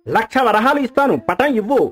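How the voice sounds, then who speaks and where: A man's voice: very high-pitched, lively intonation, fast, a bit bright, breathy edge, soft background music, exaggerated voice. A man voicing a haughty rich buyer from town in an acted moral story. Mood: haughty, insistent.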